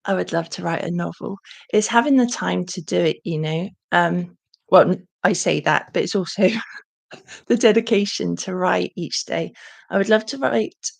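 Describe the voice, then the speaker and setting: Croaky woman chatting on a livestream. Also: on the low side. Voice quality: croaky, gravelly. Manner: chatty, warm thanks, frequent ums. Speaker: channel host. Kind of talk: casual livestream Q&A chat.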